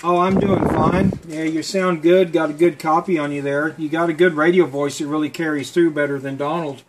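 A man's voice: a little high, little pitch movement, fairly quick, poor audio. A man chatting casually as an amateur radio operator. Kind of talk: casual ham radio conversation. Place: small indoor radio room.

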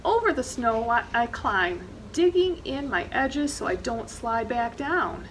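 A woman's voice: a little high, lively intonation, unhurried, a bit bright, a little hiss or hum, poor audio. A middle-aged woman reading a children's picture book aloud expressively, with dramatic emphasis on action words. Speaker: librarian reading storytime. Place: indoor library reading corner, seated.

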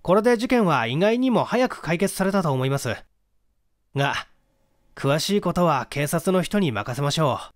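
Male reader as confident private detective, courteous and explanatory, lively intonation, dry audiobook recording. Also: a little high, moderate pace, no room sound, close to an everyday voice. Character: confident male amateur private detective, polite and self-satisfied.

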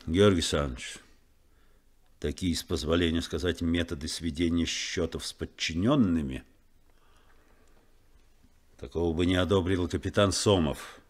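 An actor playing a principled first mate in a 1970s Soviet radio play, speaking in a measured, probing manner. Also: male voice, deep voice. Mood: stern. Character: principled ship's first mate, calm, probing male officer.

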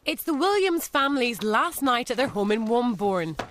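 A woman's voice: a little high, very animated, normal speed, fairly bright tone, a little hiss or hum. A woman in her thirties presenting a home-moving show, chatty and teasing with the family, recorded on a lapel mic in and around a house. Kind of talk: lifestyle TV presenting.

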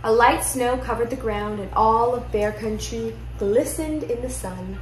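A young woman reads a children's picture book aloud with expressive storytelling in a living room. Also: on the high side, measured pace. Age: young adult. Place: indoor living room at home. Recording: roomy echo, music behind.

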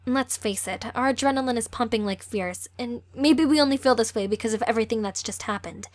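A woman's voice: on the high side, a little fast, no echo, natural voice. A woman playing an earnest, cautious sixteen-year-old girl in an amateur audio drama. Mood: earnest, hesitant.

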